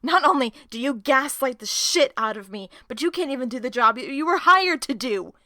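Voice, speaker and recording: A woman playing a sharp-tongued, distrustful woman in an audio drama. Her voice is bright and fairly high, recorded close and dry. She is sarcastic, profane and quick to confront. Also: animated, no room sound. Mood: furious, bitter. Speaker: voice actor in an audio roleplay.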